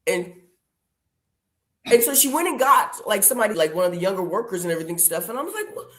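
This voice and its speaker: A woman hosting a casual interview podcast, telling stories and acting out what others said with lively, playful quotes. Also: mid-range voice, quick pace, echoey room. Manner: chatty storyteller, acts out quotes, says "girl" and "y'all". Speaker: podcast host.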